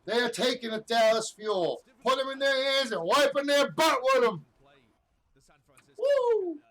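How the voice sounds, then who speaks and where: A man on a headset mic, hyping up an esports match on TV, swearing a lot and shouting at big plays in a loud, deep voice. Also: very animated, unhurried. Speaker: watch-along streamer. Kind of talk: excited game watch-along commentary. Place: home room with TV playing.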